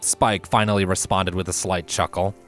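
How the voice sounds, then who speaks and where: A man reading a fiction story aloud as its narrator, in an expressive scripted style. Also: low-pitched, moderate pace, faint music behind.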